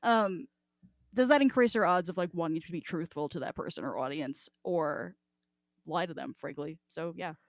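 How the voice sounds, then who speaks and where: A woman's voice: fairly low pitch, moderate pace, no room sound, clean studio sound. A woman co-hosting a podcast in casual discussion, talking informally and swearing freely. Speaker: podcast co-host.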